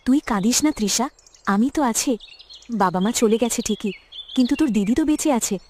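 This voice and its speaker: A woman's voice: fairly high pitch, animated, fairly quick, darkish tone. A woman voicing an earnest, protective young elder sister in an emotional cartoon story. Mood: resolute, soothing. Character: devoted, protective orphaned elder sister, young village girl. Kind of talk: Bengali cartoon moral-story voice acting.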